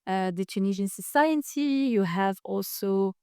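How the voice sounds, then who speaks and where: A woman's voice: mid-range voice, a little slow, mellow, clear, resonant tone, no echo, studio quality. A woman from France, a guest in an interview, explaining at length in a fluent, casual way with some fillers. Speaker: guest, tour guide.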